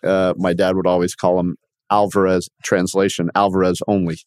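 A man in his sixties from Miami, born in Cuba, telling his life story as an interview guest in a halting, self-correcting way. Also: deep voice, level tone, a little mellow, no room sound, clean studio sound. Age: 60s. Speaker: podcast guest, company founder.

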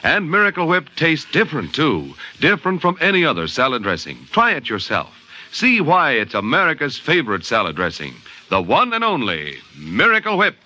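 Male vintage radio pitchman, somewhat high and very animated, polished sell, band-limited recording. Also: moderate pace, dull audio, a little hiss or hum. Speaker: radio announcer and sponsor pitchman. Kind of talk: 1950s radio announcing, polished salesmanship. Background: organ music.

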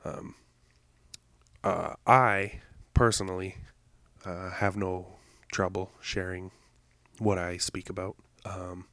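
A man hosting a podcast, congested from allergies, rambling in a halting way with many fillers and self-corrections. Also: deep voice, level tone, a bit bright, dry recording, clean studio sound. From Canada. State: congested, stuffed-up nose. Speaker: podcast host. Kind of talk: rambling solo intro.

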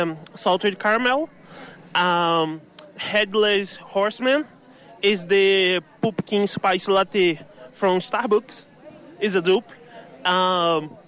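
A young man with an Irish accent, a candle maker at his stall, talking in a halting way and searching for words. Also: high voice, fairly bright tone. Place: outdoor show stall.

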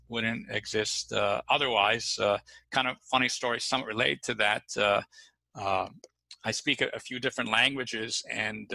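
A man's voice: fairly low pitch, gravelly, sharp tone, dry recording, poor audio. A middle-aged man answering interview questions casually, with many fillers and restarts. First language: English. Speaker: podcast guest.

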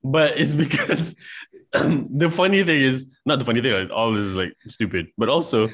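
A laid-back man from Malaysia chatting casually with friends. His voice sits at an ordinary middle pitch, and he tells stories animatedly, swearing freely. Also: moderate pace, fairly bright tone. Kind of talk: casual chat.

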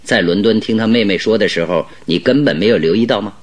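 A man playing a shrewd, calm detective in a Mandarin radio drama, speaking deliberately and with emphatic instruction. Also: on the low side, lightly acted. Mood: grave, deliberating. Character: shrewd, commanding male detective, calm and exacting.

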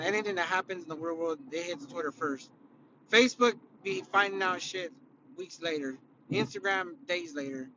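A man from California with a raspy, spent voice gives a rambling, profane rant, heavy with fillers and false starts. His voice sits a little high for a man. The audio is dull and lo-fi, with clear vehicle noise running underneath. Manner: rambling, profane, opinionated, with many fillers and restarts. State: raspy, worn-out voice after concerts. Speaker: podcast host. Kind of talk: casual solo podcast rant.

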